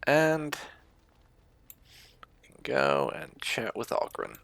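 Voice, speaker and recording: Guy doing a casual roleplay lets play, slow musing delivery but pretty lively pitch, dry close mic. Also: gravelly, clear, resonant tone, no echo. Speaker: gamer roleplaying as his character. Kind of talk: casual let's play commentary, speaking in character.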